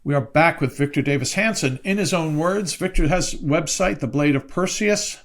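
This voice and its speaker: A man's voice: a little high, normal speed. A man hosting a podcast, dropping short agreements into the talk and reading promos in an upbeat way. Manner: brief agreeing interjections, upbeat promo reads. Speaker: podcast host.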